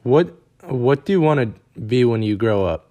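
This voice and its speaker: A man's voice: mid-range voice, animated, normal speed, mellow, lo-fi sound. Man asking short interview questions. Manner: brief questions with hesitant fillers.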